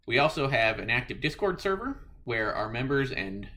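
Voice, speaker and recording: A man giving a scripted club presentation in formal, measured wording as its incoming president. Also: medium pitch, big pitch swings, sharp tone, slight background noise.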